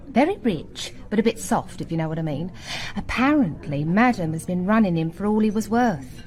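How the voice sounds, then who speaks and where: A woman's voice: mid-range voice, a little mellow, close to an everyday voice. An actress playing a gossipy, chirpy young English working woman in a radio drama, chatty and confiding.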